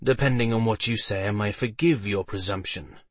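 A man's voice: on the low side, normal speed, dry recording, recorded from a distance, close to an everyday voice. A man voicing a proud, demanding knight in an audiobook, commanding and formal, with menace. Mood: stern, threatening. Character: proud, furious male knight, demanding and threatening.

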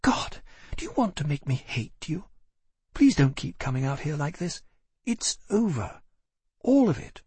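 Cold tired young woman, high and clipped, brushing someone off, as a guy reads her. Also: very animated, measured pace, pauses often, darkish tone, slightly rough, no echo, full character voice. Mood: weary, bitter. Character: cold, weary young woman, firm and proud.

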